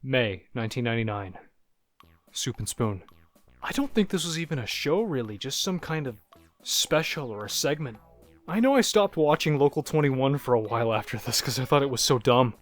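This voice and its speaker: A man voicing a haunted narrator who looks back on disturbing childhood memories in a first-person horror story, halting and reflective, like a confession. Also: medium pitch, lively intonation, lightly acted. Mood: dismissive, reminiscing. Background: music.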